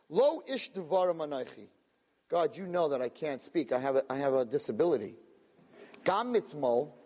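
A man giving a lively Torah lecture to a live audience, acting out pleading voices and mixing in Hebrew terms. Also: sits high, husky. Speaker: rabbi lecturer. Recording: long reverb of a hall.

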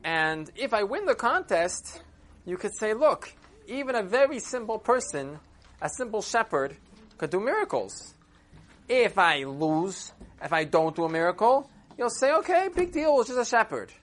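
A man's voice: sits high, very animated, measured pace, fairly bright tone, pitched above the performer's own voice, full character voice. A man voicing a cheeky, stubborn, simple old shepherd in a folk story, blunt and full of questions. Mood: cheeky confidence. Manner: blunt, protesting, rhetorical questions.

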